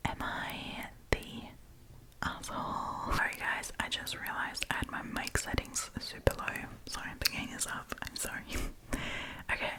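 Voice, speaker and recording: A woman's voice: unhurried, rough recording. Whispering woman, chatty ASMR storyteller. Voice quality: soft breathy whisper. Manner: chatty, gossipy, addresses listeners as friends. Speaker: host of a storytime channel.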